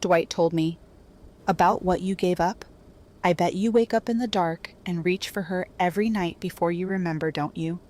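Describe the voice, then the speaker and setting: Actress as a blunt, jaded, lowish-voiced woman. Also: fairly even intonation, moderate pace, darkish tone, lightly acted. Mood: cutting, bitter. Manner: blunt, confrontational. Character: hard-bargaining, jaded, cutting captive wife. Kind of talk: audio drama acting.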